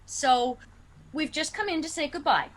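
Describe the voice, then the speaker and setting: An actress playing a prim, polite but firm old aunt in a radio play. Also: female voice, high-pitched, normal speed, lightly acted. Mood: firmly unwelcoming.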